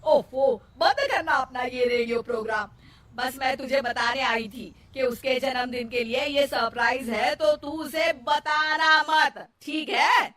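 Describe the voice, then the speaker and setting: Woman as fed-up cartoon older mother-in-law, high voice, takes her time, bossy, roomy echo. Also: a little slow, a bit bright. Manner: scolding, exclamatory, fed up. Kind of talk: cartoon moral story acting. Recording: roomy, distant mic, faint noise.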